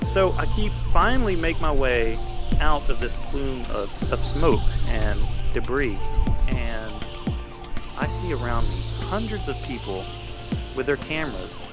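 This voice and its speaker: A man telling a personal story in a casual, animated way on a podcast. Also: a little high.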